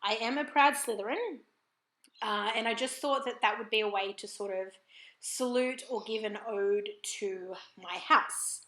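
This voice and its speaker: An Australian woman with a croaky, husky throat, chatting casually and apologetically in a voiceover for her planner vlog at home. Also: medium pitch, measured pace, a bit bright, echoey room, poor audio. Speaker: planner vlogger.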